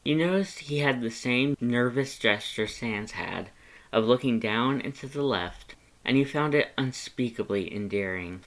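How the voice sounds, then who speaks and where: A man's voice: mid-range voice, lively intonation, measured pace, fairly bright tone, rough recording. Guy narrating a story from a script, mid-range voice. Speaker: fan fiction narrator.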